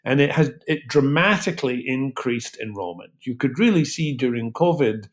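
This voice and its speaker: A man's voice: medium pitch, lively intonation, moderate pace. A man speaking as an expert guest in an interview, explaining his points in an articulate way with examples. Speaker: guest medical researcher.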